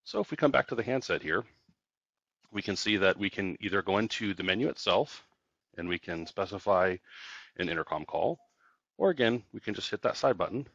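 A man with a low voice walks through a product demonstration in a casual, explanatory way. He goes step by step like a company trainer. The sound is dry and a little dull. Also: expressive, a little fast, creaky, fairly bright tone, dry recording. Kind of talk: casual product tutorial narration.

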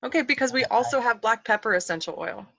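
A woman's voice: fairly high pitch, animated, fast talker, creaky, sharp tone, dry recording. A woman in her forties chatting with enthusiasm on a video call from her kitchen, with plenty of ums. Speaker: host. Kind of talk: casual chat. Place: home kitchen at night. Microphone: webcam on a video call. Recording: muffled webcam audio.

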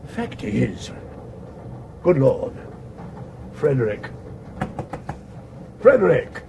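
An actor playing an absent-minded, irritable old earl in a radio comedy, halting and stammering, with an upper-class English accent. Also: male voice, medium pitch, sing-song, gravelly, heavily acted. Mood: stern, startled. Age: older. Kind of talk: 1980s radio comedy acting. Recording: noisy old radio drama recording.

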